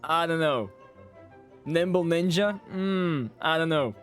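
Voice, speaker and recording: A man's voice: on the high side, slow pace, fairly bright tone, soft background music. A man giving casual, joking live commentary as a game streamer, often repeating his phrases.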